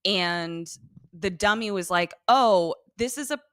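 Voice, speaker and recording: A middle-aged woman co-hosting a podcast, expressive, teasing and sweary, recapping and bantering casually into a close microphone. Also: fairly low pitch, takes it slowly, no echo. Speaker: podcast co-host.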